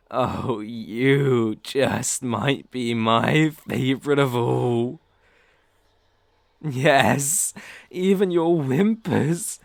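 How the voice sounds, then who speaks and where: A man's voice: mid-range voice, gravelly, dry recording, studio quality. A man with a British accent playing a sadistic, predatory werewolf in an ASMR roleplay, theatrical and menacing, savoring his words. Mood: possessive, hushed. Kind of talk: ASMR roleplay audio drama.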